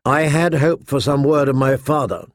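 A man's voice: medium pitch, moderate pace, dry recording, studio quality, close to an everyday voice. A man doing a hesitant, brooding young man. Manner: hesitant, subdued.

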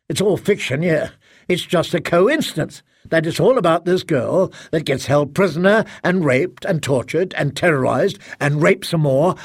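Male reader as enraged young daughter, high and strained, gravelly, dry close read. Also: no room sound, full character voice. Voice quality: strained, accusing. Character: furious anguished young adult daughter. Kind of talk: audiobook character acting.

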